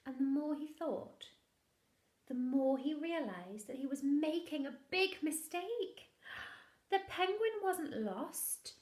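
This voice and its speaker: A woman reading a picture book aloud to young children like a primary teacher, in an expressive, deliberate way with hushed asides. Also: high-pitched, echoey room.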